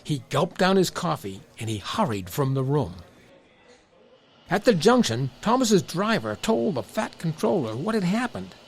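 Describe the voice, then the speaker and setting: A man narrating a scripted children's story as an expressive storyteller. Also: a little high, unhurried, vocal fry, breathy edge.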